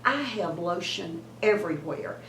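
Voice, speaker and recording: A woman in her seventies, hoarse from a cold, giving chatty beauty advice to her viewers and often repeating herself. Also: lowish voice, expressive, a little slow, echoey room, slight background noise, rough recording. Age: 70s. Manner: warm, chatty, repeats words and trails off. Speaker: beauty vlogger.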